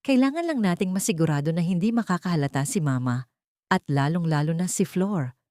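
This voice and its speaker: A woman's voice: on the low side, expressive, brisk, a little mellow, no room sound, clean studio sound, natural voice. Woman voicing a secretive, deceitful husband. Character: deceitful husband plotting with his mistress. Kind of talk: audio drama character dialogue.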